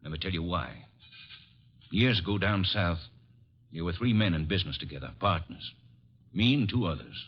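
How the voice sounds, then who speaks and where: A man's voice: sits low, normal speed, long pauses. An American actor playing a big, stubborn man bent on revenge in a 1940s radio drama. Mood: grim defiance. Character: big bearded vengeful man, grim and stubborn. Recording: muffled vintage radio recording.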